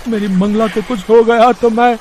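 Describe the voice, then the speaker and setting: A man's voice: high-pitched, fairly even intonation, moderate pace, background noise, close to an everyday voice. A man voicing a poor village labourer, a caring husband and father, earnest and fretful, in a moral-story cartoon. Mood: distressed.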